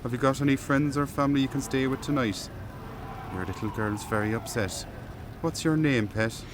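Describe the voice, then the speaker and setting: A man's voice: medium pitch, moderate pace, background noise, lightly acted. A man playing a courteous, kindly Irish police officer in a radio drama. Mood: sympathetic.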